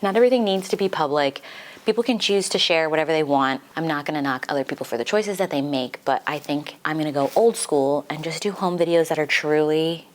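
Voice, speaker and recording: A young woman with a low voice talks casually to her viewers as a book vlogger. She sounds a little stuffed up from a recent flu, and her delivery is informal and giggly, peppered with "like". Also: brisk. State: recovering from flu, slightly congested. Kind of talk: casual chatty life-update vlog.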